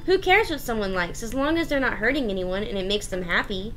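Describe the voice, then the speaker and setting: A woman's voice: medium pitch, animated, a little fast, fairly bright tone, faint music behind, close to an everyday voice, low-quality recording. A woman voicing a blunt, proud, opinionated teenage girl in a visual novel, assertive and self-assured. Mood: defiant.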